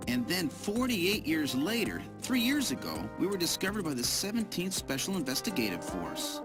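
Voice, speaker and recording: A confused amnesiac agent in a video game drama, desperate to learn who he is. His pitch swings very widely, and music plays clearly behind him. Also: wildly swooping pitch, moderate pace, rough recording. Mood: pondering. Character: bewildered amnesiac male special agent seeking his identity.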